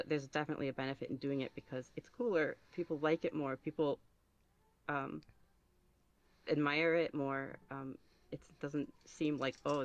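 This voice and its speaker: A man giving casual podcast interview answers as a guest, halting and thinking aloud as he goes. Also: fairly high pitch, a bit bright, muffled recording. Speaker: podcast guest, ASMR roleplay creator.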